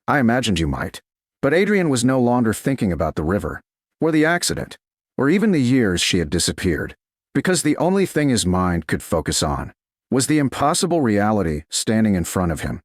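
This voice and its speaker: A man narrating a romantic story as an audiobook, with dramatic, deliberate short phrases. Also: on the low side, big pitch swings, no room sound, studio quality.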